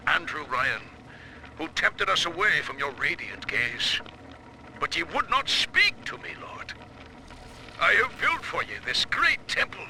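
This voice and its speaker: An actor playing a fanatical cult preacher in a video game, speaking in fervent, archaic biblical language. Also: male voice, fairly high pitch, creaky, sharp tone, slight background noise, full character voice, rough recording. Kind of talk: theatrical video game voice acting.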